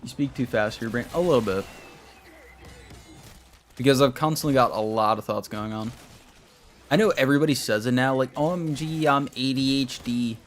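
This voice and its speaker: A man's voice: mid-range voice, normal speed, long pauses, soft background music. A young man streaming a game, chatting casually with his viewers in a rambling way while he plays. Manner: rambling, talks to chat while playing.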